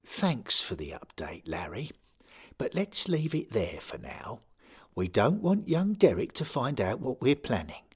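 Man doing a hesitant, soft-spoken 92-year-old. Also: mid-range voice, sing-song, a little slow, slightly rough, voice pushed up, heavily acted. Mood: flustered. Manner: hesitant, soft, stammering, fatherly. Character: gentle 92-year-old man, football club manager.

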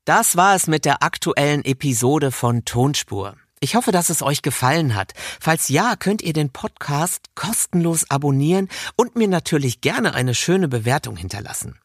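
A man hosts a podcast from a script. His voice is husky with lively intonation, recorded dry over faint music. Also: fairly high pitch, normal speed, few pauses, dry recording. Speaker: podcast host.

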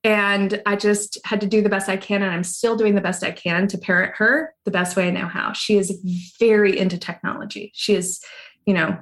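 A middle-aged woman from Hawaii talks at a mid pitch in an unhurried, reflective way. She weighs her thoughts aloud and speaks warmly as a guest in an interview. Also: steady tone. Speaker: guest, yoga teacher. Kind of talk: conversational interview.

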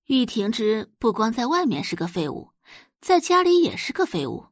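A woman's voice: fairly high pitch, wildly swooping pitch, moderate pace, dry recording, studio quality. A woman narrator reading a romance novel expressively for an audio drama, as scripted storytelling.

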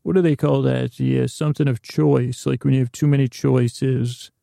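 A man with a heavily creaking, gravelly voice hosts a podcast in a very relaxed, muted tone. His pitch stays fairly even as he wanders through a comic monologue. He keeps stopping, restarting and losing the thread mid-sentence. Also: no room sound, studio quality. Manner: halting, self-interrupting, trailing off mid-thought. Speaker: podcast host. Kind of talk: rambling, meandering comic monologue.

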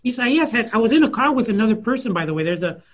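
High-voiced man, halting author. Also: sing-song. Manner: many fillers and restarts, searching for words. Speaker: author answering audience questions. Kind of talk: thoughtful conversational Q&A answer.

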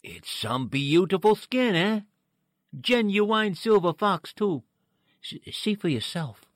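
An actor playing a shifty, pushy street peddler with slangy, wheedling talk in an old-time radio drama. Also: male voice, sits high, very animated, slow, no room sound, studio quality, exaggerated voice. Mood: sly, coaxing. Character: shifty male street peddler hawking cheap fur coats.

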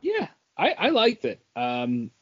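A man co-hosting a podcast, talking casually about comic books in a halting way that often rephrases itself. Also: a little high, wildly swooping pitch, fairly bright tone, breathy edge, lo-fi sound. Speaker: podcast co-host. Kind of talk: casual comic book discussion.

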